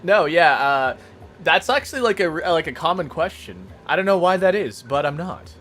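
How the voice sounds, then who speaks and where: A man's voice: a little high, expressive, normal speed, fairly bright tone, slight background noise. A man streaming a casual chat, rambling to his viewers while making coffee. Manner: chatty, rambling, talks to chat.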